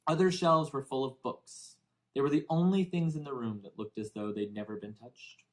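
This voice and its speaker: A man reading a children's novel aloud as expressive scripted narration. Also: medium pitch, moderate pace. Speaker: audiobook reader for English learners.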